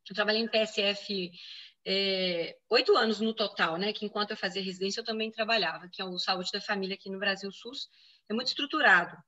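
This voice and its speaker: A woman's voice: mid-range voice, a little fast, sharp tone, poor audio. A woman in her thirties with a Brazilian Portuguese accent from Minas Gerais, answering interview questions in a chatty way with frequent "né?" tags. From Venezuela, raised in Brazil. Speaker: physician guest.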